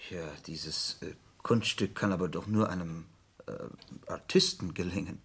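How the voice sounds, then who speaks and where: An actor playing a detective's attentive, puzzled companion in a radio drama, speaking with hesitant, inquisitive phrasing. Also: male voice, low voice, moderate pace, creaky, a bit bright, breathy edge, heavily acted.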